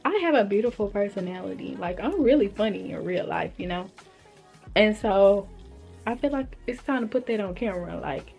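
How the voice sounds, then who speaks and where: Young woman vlogger, mid-pitched, relaxed and slangy, faint music under her. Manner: candid, slangy, laid-back storytelling. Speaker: vlogger and hair stylist. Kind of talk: casual talking-to-camera vlog.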